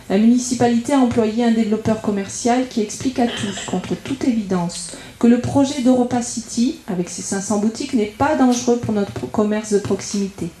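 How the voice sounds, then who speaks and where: Echoey, mellow higher-pitched woman giving a political speech. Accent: French from France. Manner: argumentative, methodical, enumerating points. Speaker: local political campaigner speaking at a debate. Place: indoor meeting room at public debate. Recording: echoey room at public meeting.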